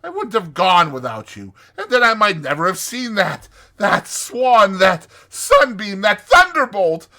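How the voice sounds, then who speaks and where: A man voicing a grandiose, besotted toad in a storybook, gushing dreamily and dramatically with drawn-out words. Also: high voice, sing-song, sharp tone, pitched above the performer's own voice, heavily acted. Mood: rapturous, gushing. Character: grandiose, giddy male toad, besotted and theatrical.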